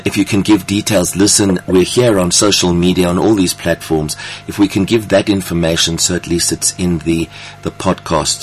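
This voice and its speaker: A man's voice: sits low, a little hiss or hum, poor audio. A man hosting a conversational interview in an effusive, encouraging way. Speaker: radio or podcast interview host.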